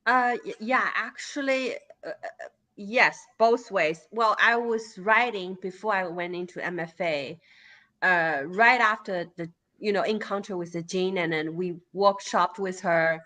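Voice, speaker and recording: A woman with a Chinese accent, a native Chinese speaker, talks as a guest poet in an interview. Her voice sounds bright and clear, at a middle pitch. She speaks haltingly and fills the gaps with many small hesitations. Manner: hesitant, many fillers and restarts. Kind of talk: conversational interview answer.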